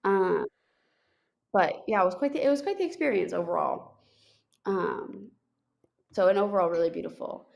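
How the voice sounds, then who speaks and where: A woman's voice: medium pitch, expressive, moderate pace, vocal fry, long pauses, muffled recording. A young woman co-hosting a podcast, telling a story in a chatty way with many fillers and restarts. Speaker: podcast co-host. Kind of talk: casual chat.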